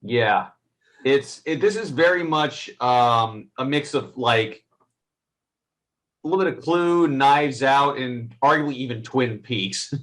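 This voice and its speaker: A man speaking as a co-host in a casual, conversational movie review, halting and a little wry as he talks. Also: mid-range voice, expressive, fairly bright tone. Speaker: film reviewer and podcast co-host.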